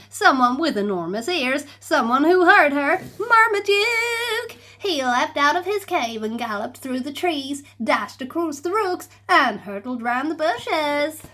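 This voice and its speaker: Playful children's story narrator, unhurried, lots of lilt. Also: female voice, high voice. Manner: animated, slow and playful. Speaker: storybook reader.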